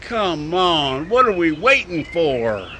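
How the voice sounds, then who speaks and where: A man's voice: on the high side, big pitch swings, slow, sharp tone, slight background noise, exaggerated voice. A man playing a hard, impatient cowhand in a lynch mob in a radio western, speaking bluntly. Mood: impatient scorn. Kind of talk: old-time radio drama acting.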